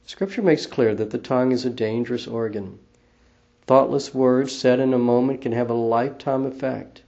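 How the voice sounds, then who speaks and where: Quiet, gravelly-voiced church minister. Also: male voice, mid-range voice. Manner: calm, measured and earnest. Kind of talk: scripted devotional talk. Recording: slightly dull lo-fi recording.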